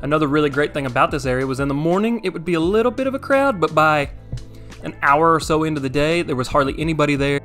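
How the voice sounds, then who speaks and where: A man's voice: a little high, fast talker, a bit bright. A man in his thirties giving a casual, enthusiastic, conversational voice-over review as a vlog host. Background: background music.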